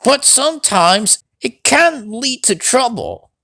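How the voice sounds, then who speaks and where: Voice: mid-range voice, big pitch swings, slow, creaky, bright, forceful tone, dry recording, studio quality, voice pushed up, full character voice. A reader voicing a kindly, patient older male engine giving advice in a children's storybook. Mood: gently advising. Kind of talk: children's storybook character reading.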